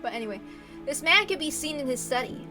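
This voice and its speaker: A woman with a high voice narrates a visual novel. Her reading is expressive and slips into casual asides, with faint music underneath. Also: fast.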